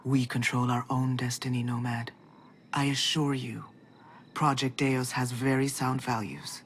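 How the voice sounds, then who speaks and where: An actor playing a persuasive tech leader who talks in a smooth, assured way. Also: mid-range voice, flat delivery, a little slow, fairly bright tone, slight background noise. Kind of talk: video game cutscene acting.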